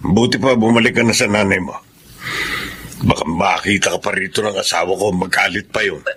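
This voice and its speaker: A man's voice: deep voice, steady tone, brisk, a bit bright. A man with a Filipino accent acting a cold, dismissive middle-aged father in a radio drama. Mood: cold, irritated. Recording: faint noise behind voice.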